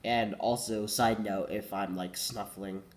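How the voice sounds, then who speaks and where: A man's voice: fairly low pitch, fairly even intonation, a little slow. A teenage boy with a cold, congested and coughing, rambling casually and correcting himself, saying "like" a lot. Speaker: vlogger.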